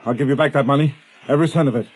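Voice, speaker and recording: An actor playing a nervous, cowardly criminal accomplice with a hesitant manner in 1930s radio drama style. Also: male voice, medium pitch, racing, slight background noise, natural voice. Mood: frightened, pleading. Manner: hesitant, jittery, pleading. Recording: vintage 1930s radio broadcast.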